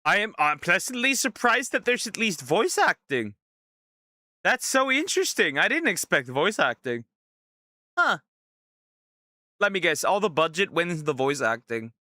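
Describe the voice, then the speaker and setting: Excitable high-voiced male streamer. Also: moderate pace, long pauses, no echo, clean studio sound. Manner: excitable, incredulous reactions. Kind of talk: let's play commentary.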